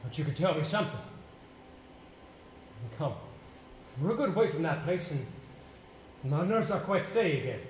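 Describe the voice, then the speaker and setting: A man's voice: a little high, expressive, moderate pace, pauses often, close to an everyday voice. A man in a live amateur stage play, playing an anxious, insistent man haunted by dreadful memories. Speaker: stage actor. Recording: echoey, noisy amateur theater stage recording.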